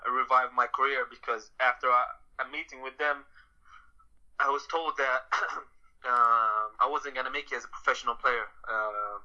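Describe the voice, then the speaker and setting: A man's voice: mid-range voice, pushed and bright. A man from Sweden, a guest on a podcast, talking casually over a phone call with many hesitations. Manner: hesitant, lots of uhs and restarts. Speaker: podcast guest, professional soccer player. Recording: muffled phone line.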